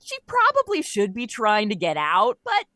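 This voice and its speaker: A woman speaking as an interview guest in casual chat, telling stories in an animated way and acting out quoted lines. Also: mid-range voice, moderate pace, dry recording, studio quality. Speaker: guest, writer of a comedy audio show.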